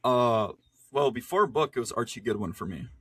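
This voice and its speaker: A man's voice: medium pitch, expressive, moderate pace, fairly bright tone, breathy edge. A young adult man co-hosting a podcast, telling stories casually in slang with lots of quoted asides. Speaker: podcast co-host. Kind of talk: casual chat, storytelling.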